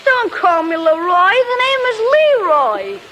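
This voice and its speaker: An American actor playing a guarded, wisecracking schoolboy in a 1940s radio comedy, stiffly polite or brash by turns. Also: male voice, very high-pitched, huge pitch swings, a little slow, bright, forceful tone, full character voice. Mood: brash, confiding. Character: guarded, wisecracking schoolboy who slowly warms up. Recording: noisy, clicking old radio recording.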